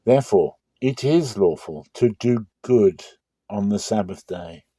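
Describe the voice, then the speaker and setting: A man's voice: fairly low pitch, expressive, a little slow, mellow, husky, no echo. A man voicing an authoritative, earnest male teacher in a dramatic scripture reading, with emphatic, rhetorical preaching. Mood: earnest, questioning.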